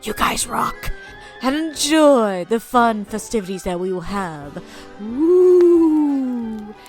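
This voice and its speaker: Casting: female show host, exuberant and theatrical, lightly high sing-song voice over background music. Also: sing-song, takes it slowly, a little mellow. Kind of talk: theatrical show introduction to viewers.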